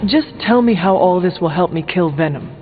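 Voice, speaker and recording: An actor voicing a blunt, impatient demon-hunting warrior in a video game. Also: male voice, high-pitched, lively intonation, moderate pace, narrow, tinny audio. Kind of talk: video game dialogue acting. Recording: thin, band-limited game audio with music.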